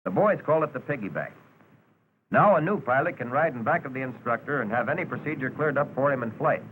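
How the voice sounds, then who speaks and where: A man with an American accent narrating a 1940s instructional training film in a measured, instructive manner. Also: medium pitch, lively intonation, phone-quality sound. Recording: noisy 1940s film soundtrack.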